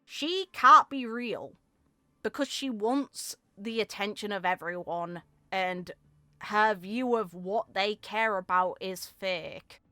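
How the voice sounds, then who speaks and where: Girl chatting thru game lore, bright crisp voice, super dry close sound, informal takes. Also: medium pitch, takes it slowly, no room sound. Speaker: video game commentator. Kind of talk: casual commentary and reading of game story.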